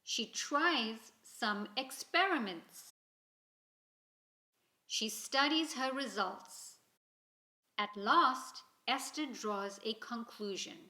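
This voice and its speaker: A woman reads a children's story aloud in an expressive, deliberate storytelling manner. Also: a little high. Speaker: storybook reader. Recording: roomy, echoing indoor sound.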